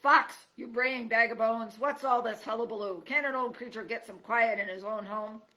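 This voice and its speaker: A woman's voice: medium pitch, a little fast, sharp tone, low-quality recording. A woman voicing a grumpy old male porcupine who bellows and screeches insults in a storybook reading. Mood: gruff scornful.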